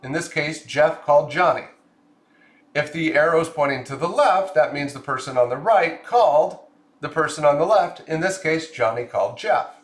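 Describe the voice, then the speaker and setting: Male software explainer, raised pitch, methodical instructional delivery, noticeable room echo. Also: moderate pace. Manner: clear step-by-step explaining. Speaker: software tutorial presenter. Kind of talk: scripted screen-share tutorial narration.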